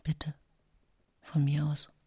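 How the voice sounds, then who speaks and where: Husky, whispering haunted widow. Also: female voice, low-pitched, completely flat delivery, slow pace, warm tone, dry recording, studio quality, heavily acted. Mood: cold, quiet. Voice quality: husky, breathy near-whisper. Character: guilt-haunted murderous widow confessing her own story. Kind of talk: intimate radio drama monologue.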